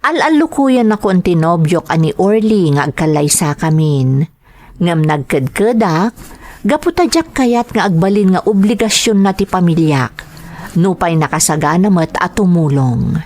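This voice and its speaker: A woman's voice: fairly low pitch, sing-song, normal speed, a little mellow, no room sound. A woman playing an earnest, dutiful young woman in an audio drama, with a Filipino accent. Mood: troubled, confiding.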